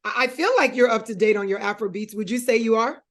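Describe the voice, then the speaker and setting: Woman host, kinda high voice, playing a guessing game, gives hints nice and patient. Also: quick pace, noisy background. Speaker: show host. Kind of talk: playful interview game.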